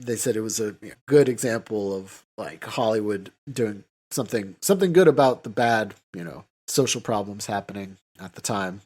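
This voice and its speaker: A man's voice: fairly low pitch, lively intonation, normal speed, few pauses, a little mellow, husky. A man hosting a podcast, talking casually through films in a rambling way full of filler words. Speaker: podcast host. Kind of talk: casual film discussion.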